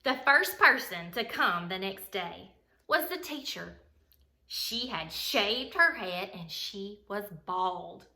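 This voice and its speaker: A woman reading a children's picture book aloud as a bedtime story, in an expressive, dramatic way. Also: mid-range voice, slow. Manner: expressive, dramatic, acts out dialogue. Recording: roomy, echoey sound.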